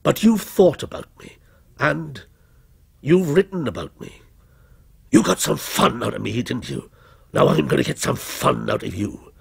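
A man's voice: medium pitch, lively intonation, a little slow, gravelly, pauses often, breathy edge, heavily acted. A man voicing a vengeful, menacing criminal posing as a policeman, taunting and bitter in a dramatic story. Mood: bitter, accusing. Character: menacing, vengeful male fictional criminal come to life as a policeman.

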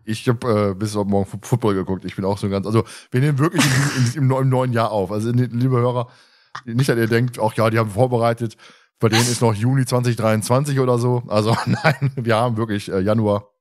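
A man's voice: medium pitch, fairly even intonation, fairly quick. Male podcast host, mid-range voice, loose rambling delivery with friendly banter and teasing. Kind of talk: casual podcast chat.

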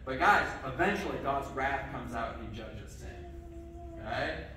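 Pastor preaching from across a church. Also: male voice, mid-range voice, a little slow, background noise. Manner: lively, asks the kids questions, says okay often. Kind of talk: children's sermon. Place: church sanctuary with congregation. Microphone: far camera. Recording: echoey church from far off.

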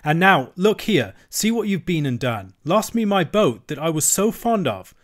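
A man's voice: fairly high pitch, very animated, normal speed, pitched above the performer's own voice. A man voicing the Water Rat, a cross but kind-hearted male rat who scolds his friend. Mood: scolding. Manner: scolding, reproachful.

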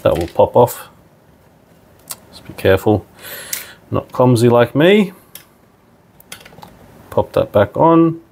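A man's voice: mid-range voice, sing-song, takes it slowly, gravelly, long pauses, a little mellow, slight background noise. A man narrating a hands-on product tutorial in an informal, step-by-step way. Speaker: product demonstrator.

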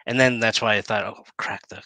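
A man in his forties with a low-pitched, bright voice hosts a relaxed online show. He talks informally and haltingly, often letting sentences trail off. The sound is dry and close, from a headset on a video call. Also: dry recording. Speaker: talk show host. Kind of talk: casual online interview chat. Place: indoor room, on a video call.

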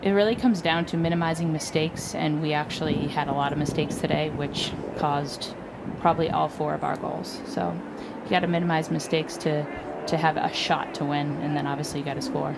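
Blunt low-voiced woman coach. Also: fairly even intonation, fairly quick, gravelly, heavy background noise. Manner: blunt, frank, repeats herself. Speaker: head coach. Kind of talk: postgame interview.

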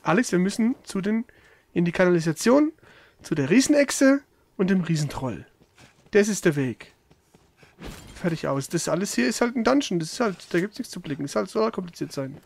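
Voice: mid-range voice, lively intonation, a little fast, gravelly, darkish tone. A gamer giving casual let's play commentary, grumbling and swearing in a slangy way.

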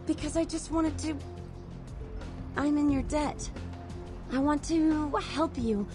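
A woman's voice: high-pitched, takes it slowly, music in the background. A woman playing an eager, clingy young devotee in a video game, nervous and earnest as she pleads.

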